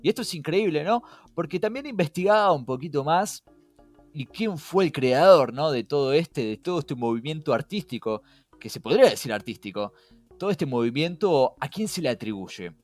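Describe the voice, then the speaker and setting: Male podcast host, Rioplatense Spanish accent, animated intonation, engaging and questioning, chatty explainer over background music. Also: a little high, moderate pace, no room sound. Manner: engaging, asks rhetorical questions. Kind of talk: casual conversational podcast explainer. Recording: music behind.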